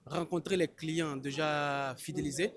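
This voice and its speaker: A man's voice: fairly high pitch, fairly even intonation, measured pace. A man from Côte d'Ivoire, a fruit exporter, answering interview questions at a trade fair in a hesitant, chatty way with frequent restarts. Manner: hesitant, restarts often, laughs easily. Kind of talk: casual spoken interview. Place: busy trade fair hall.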